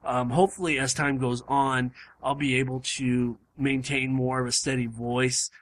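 A man hosting a casual podcast, his voice hoarse and starting to give out, rambling with lots of fillers and restarts. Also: medium pitch, flat delivery, normal speed. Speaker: podcast host. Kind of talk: casual chat. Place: home room.

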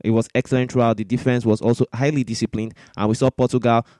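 A man's voice: medium pitch, level tone, fast, warm tone, no echo, clean studio sound. A man hosting a sports discussion, giving opinionated, argumentative commentary with points repeated for emphasis. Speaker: sports podcast host.

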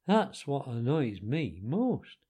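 A man voicing a grumpy, put-upon storybook mole who grumbles with slow emphasis. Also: mid-range voice, very animated, mellow, slightly rough, exaggerated voice.

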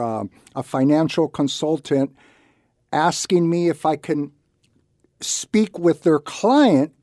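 A man presenting a conversational advice segment on the radio. His voice sits a little high but is soft-edged and mellow, recorded very dry. He makes his points in short, repeated clauses with clear emphasis. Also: dry recording. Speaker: radio show host and reverse mortgage loan officer. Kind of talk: conversational explanatory radio talk.

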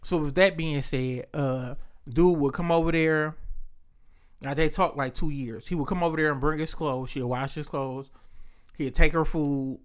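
A man's voice: on the high side, steady tone, moderate pace, clean tone. A man hosting a casual podcast, joking and teasing his co-hosts and laughing often. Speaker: podcast host.

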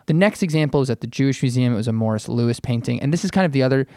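A man chats as a podcast panelist with a noticeable fry in his mid-pitched voice. He is informal and slightly wry, rephrasing himself as he explains. The sound is close and dry. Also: racing, darkish tone, no echo. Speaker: podcast panelist and art writer. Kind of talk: casual panel discussion.

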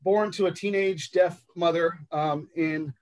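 A man from Los Angeles whose first language is sign language, telling his life story as a podcast guest in a reflective, halting way with many ums and uhs. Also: fairly high pitch, fairly even intonation. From Los Angeles, United States.

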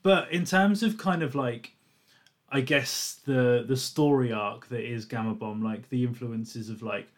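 Male interviewer, mid-pitched, casual, hesitant questions. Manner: halting questions full of fillers.

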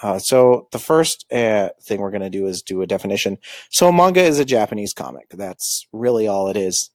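A man giving an informal lecture on manga, halting often with ums and uhs. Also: fairly low pitch, expressive, darkish tone, dry recording. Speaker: school librarian teaching manga basics.

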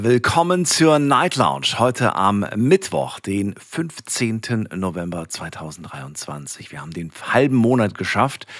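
Male, late-night talk host, animated intonation, dry close studio sound. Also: mid-range voice, no echo. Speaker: radio call-in show host. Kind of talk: late-night radio talk, addressing listeners and callers. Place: radio studio. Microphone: close studio microphone.

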